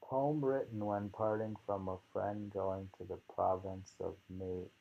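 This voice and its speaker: A man reading poems aloud in a slow, halting, musing way, repeating lines and adding informal, rambling commentary, on a dull lo-fi recording. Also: low voice, fairly even intonation, creaky. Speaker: reader and commentator of poetry.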